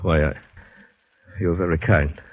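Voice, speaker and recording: A man's voice: deep voice, fairly even intonation, measured pace, husky. An actor playing a terrified, shell-shocked fugitive, telling his story in tense, clipped phrases in 1940s radio drama style. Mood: dazed, shaken. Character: terrified, shell-shocked man fleeing a murder scene. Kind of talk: 1940s radio drama first-person narration. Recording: muffled vintage radio with music behind.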